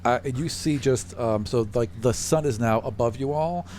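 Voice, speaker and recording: A man's voice: medium pitch, normal speed, darkish tone, a little hiss or hum. A man narrating a tabletop fantasy game as game master, thinking aloud in a conversational way as he describes scenes.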